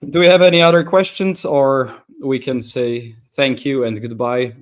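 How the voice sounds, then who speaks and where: A man's voice: mid-range voice, normal speed. A man co-hosting a community livestream, talking with energy and laughing between sentences. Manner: energetic, laughs mid-sentence, swears casually. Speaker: co-host. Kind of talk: casual livestream chat.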